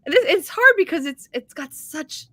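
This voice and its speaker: A woman's voice: high-pitched, big pitch swings, moderate pace, bright, forceful tone, dry recording. A woman co-hosting a book readalong, chatting effusively and casually and repeating herself for emphasis. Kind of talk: enthusiastic casual book chat.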